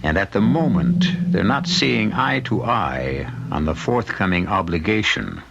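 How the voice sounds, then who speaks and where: Deep-voiced radio mystery host. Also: male voice, lively intonation, a little slow, vocal fry, noisy background. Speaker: host and narrator. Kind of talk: scripted mystery radio narration. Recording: dull lo-fi radio, music behind.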